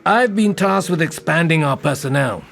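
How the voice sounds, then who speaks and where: Lively, persistent senior detective. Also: male voice, on the high side, moderate pace, creaky, faint music behind. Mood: wry, insistent. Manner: wry, insistent, self-assured. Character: persistent, smooth-talking middle-aged male senior detective. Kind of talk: audio drama dialogue.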